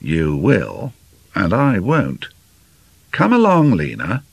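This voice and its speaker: A man voicing a cocky, teasing young English gentleman in an audiobook, breezy and commanding. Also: on the low side, huge pitch swings, slow, creaky, slightly rough, no echo, heavily acted. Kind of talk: audiobook character dialogue.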